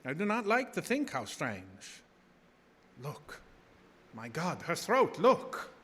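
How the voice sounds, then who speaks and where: Solemn professor, higher-pitched, echoing hall. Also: male voice, huge pitch swings, slow pace, a crowd in the background, full character voice. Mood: alarmed, grim. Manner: portentous, emphatic, clipped phrases. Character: ominous, solemn professor, male vampire expert. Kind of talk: old-time radio horror drama acting. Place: live broadcast from a theater. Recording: reverberant theater stage, clear background noise.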